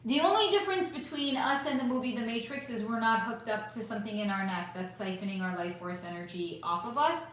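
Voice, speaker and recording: A woman hosting a casual live stream, answering chat questions in an emphatic, rambling way with swearing, her voice a bit hoarse. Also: fairly high pitch, brisk, hardly any pauses. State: voice going hoarse. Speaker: psychic channel host reading live chat. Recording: heavily echoey room.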